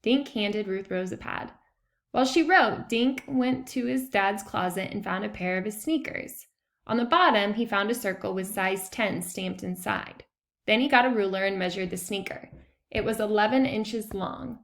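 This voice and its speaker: A woman reading a children's chapter book aloud in an expressive storytelling style. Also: medium pitch, normal speed. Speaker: read-aloud narrator.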